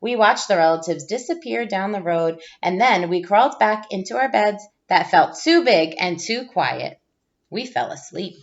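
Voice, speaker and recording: A woman's voice: mid-range voice, lively intonation, normal speed, lo-fi sound. A woman teacher reading a storybook aloud to pupils, expressively, drawing out phrases for emphasis.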